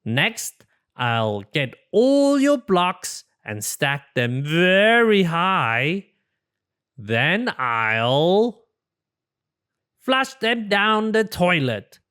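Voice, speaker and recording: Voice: mid-range voice, big pitch swings, extremely slow, a bit bright, smooth, exaggerated voice. A storybook reader voicing a cheerful, silly, overconfident male porcupine with a breezy, theatrical manner. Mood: confident, upbeat. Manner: breezy, theatrical, self-assured delivery.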